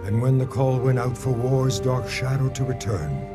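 A man acting as the solemn, deliberate narrator of an ancient war epic in a video game, over loud music. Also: medium pitch, lightly acted. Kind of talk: dramatic scripted narration.

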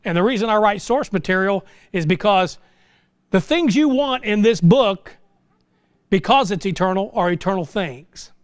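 A man's voice: high-pitched, expressive, normal speed, no room sound. A middle-aged American man preaching an informal sermon in a folksy, exhortative way, full of rhetorical questions. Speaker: pastor preaching. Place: small church sanctuary, indoors.

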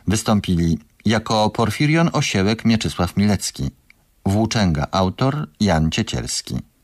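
Low-voiced, lively-toned male radio announcer. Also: no echo. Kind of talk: scripted radio credits announcement.

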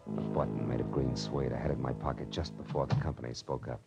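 Hardboiled private eye, low-pitched, American, wry, clipped, old radio noir, music behind. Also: male voice, steady tone, quick pace, creaky. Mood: hardboiled narrating. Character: tough, wisecracking male private detective. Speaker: lead actor narrating a detective drama. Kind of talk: 1940s radio noir acting, hardboiled narration.

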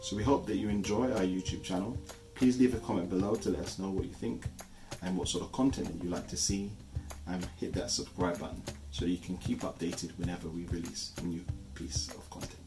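A man in his thirties introduces his channel from the sofa at home, speaking in a measured, earnest way. His voice sits a little low, and clear music plays underneath him throughout. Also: steady tone, rough recording. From East London, England. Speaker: channel co-host. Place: living room, on a sofa. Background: soft background music.

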